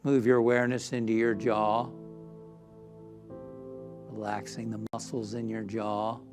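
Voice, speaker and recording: A man leading a guided meditation as a minister, gentle and soothing, often softening toward a whisper. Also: fairly low pitch, level tone, a little slow, music in the background.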